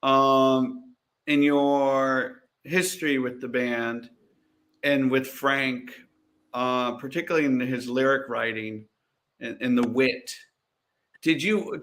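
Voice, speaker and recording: A man hosting a chat, asking guests measured questions. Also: mid-range voice, a bit bright. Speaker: host. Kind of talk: podcast interviewing.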